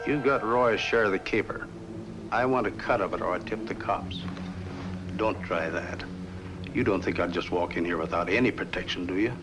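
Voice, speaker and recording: An actor playing a scheming, embittered circus owner in a 1950s film noir, with a cool, cocky, insistent manner. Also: male voice, deep voice, normal speed, over music, natural voice. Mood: cool, threatening. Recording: noisy old film soundtrack.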